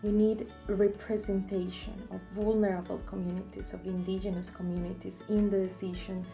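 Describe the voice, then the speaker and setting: A woman's voice: mid-range voice, flat delivery, moderate pace, gravelly, over music. A woman from Latin America, speaking firmly as a grassroots organizer in a testimonial. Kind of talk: scripted testimonial.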